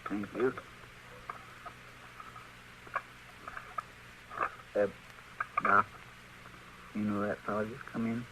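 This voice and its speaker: A man's voice: lowish voice, flat delivery, normal speed, close to an everyday voice. A man playing an earnest, worrying frontier sidekick in a radio western, with a homespun rural Western American drawl. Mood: folksy, hungry. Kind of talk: 1950s radio western acting. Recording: noisy old radio recording.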